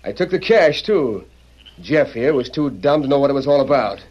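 A man's voice: mid-range voice, lively intonation, moderate pace, natural voice. A man playing a ruthless, gloating outlaw leader in an old-time radio western, with a bossy, sneering cowboy drawl. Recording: dull, noisy old radio recording.

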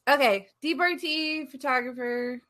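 A woman's voice: high-pitched, unhurried, a bit bright. A woman who works as a photographer, a bubbly podcast regular who laughs easily, on a video call.

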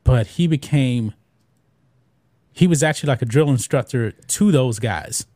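A man on a podcast with a mellow, darker voice, drifting from one point to the next and filling gaps with ums. The sound is close and dry. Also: medium pitch, animated, unhurried, no room sound. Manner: chatty, digressive, trails off with fillers. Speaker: podcast co-host. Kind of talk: casual chat.

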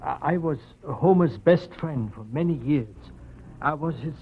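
Male radio-drama witness: tired, timid, halting, raspy and weak, vintage dull sound. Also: fairly high pitch, lively intonation, heavily acted. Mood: shaky, nervous. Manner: hesitant, stammering, nervous. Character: tired, shaky little man testifying as witness. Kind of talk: old radio drama acting.

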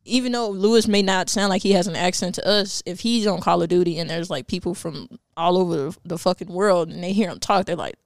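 A woman's voice: fairly low pitch, fairly quick, hardly any pauses, no room sound, clean studio sound. Chatty young woman, lots of "like". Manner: casual, heavy use of "like", frequent restarts. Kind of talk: casual chat.

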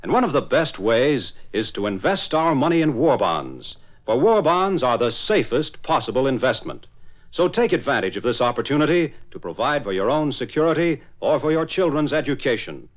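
A man's voice: medium pitch, sing-song, normal speed. An American man announcing and narrating in dramatic 1940s radio style. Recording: muffled old radio recording with hiss.

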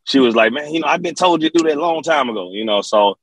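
A man's voice: on the high side, expressive, fast, slightly rough, no echo. A man from Memphis with a Southern accent, a rapper casually telling stories as an interview guest, peppering his talk with slang and repeated filler phrases. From Memphis, Tennessee. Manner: repetitive, slang-peppered, frequent "you know".

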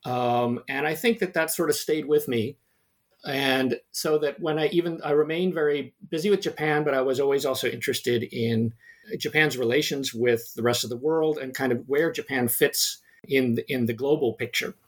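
A man's voice: mid-range voice, moderate pace. A middle-aged man with an American accent answers interview questions as a history professor, talking in a hesitant way with many fillers and restarts. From United States (New Jersey). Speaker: history professor, podcast guest.

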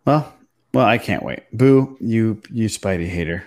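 A man with a fairly low voice hosts a casual fan podcast. He chats informally and passes questions to his co-hosts. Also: a little slow, a little mellow. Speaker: podcast host.

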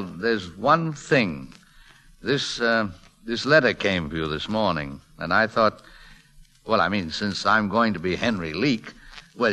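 Shy flustered gentleman in an old radio play, stammers, really swingy pitch. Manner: hesitant, stammering, indignant when challenged. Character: shy, flustered English gentleman posing as a valet. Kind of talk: classic radio drama acting.

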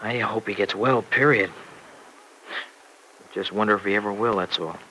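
A man's voice: fairly low pitch, moderate pace, pushed and bright. An actor playing a blunt, loyal young American man in an old-time radio serial, colloquial and defensive. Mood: somber. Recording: noisy old radio broadcast recording.

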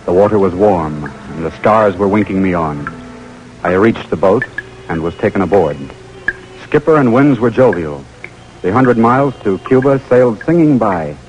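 An actor with an American accent playing an eager young lieutenant on a secret mission, in 1940s radio drama style, on an old recording. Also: male voice, on the low side, expressive, moderate pace, a little mellow, faint music behind, lightly acted, poor audio. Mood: dramatic reminiscing. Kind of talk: 1940s radio drama acting and first-person narration.

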